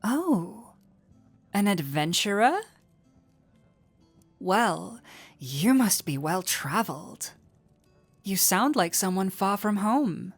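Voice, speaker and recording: A flirty fantasy innkeeper, acted by a woman talks intimately to one listener in an audio roleplay. The delivery is unhurried and playful, full of coy teasing, at a medium pitch. Soft music plays underneath. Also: big pitch swings, exaggerated voice. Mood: curious.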